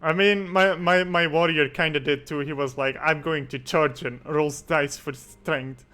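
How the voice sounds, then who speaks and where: A man hosting a casual online interview show, easygoing and quick to chuckle. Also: on the high side, fairly even intonation, pushed and bright. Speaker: podcast host. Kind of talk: casual chat.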